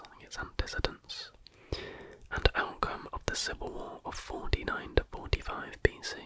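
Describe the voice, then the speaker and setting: Hushed, fully whispered ASMR reader. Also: extremely high pitch, low-quality recording. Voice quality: unvoiced breathy whisper. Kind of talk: whispered ASMR reading of a history text.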